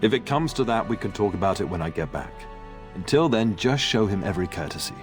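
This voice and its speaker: Casting: man as fatherly middle-aged lord, proud and genial, fantasy game, lowish voice with music beneath. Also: animated, quick pace, lightly acted. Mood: earnest, fatherly. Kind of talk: video game voice acting.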